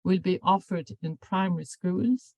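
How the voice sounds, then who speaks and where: An older woman, a professor, giving an academic seminar lecture in a measured, formal way, often correcting herself mid-sentence. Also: on the low side, warm tone, no echo. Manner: measured, formal delivery with frequent self-corrections. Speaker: professor and anti-bullying researcher.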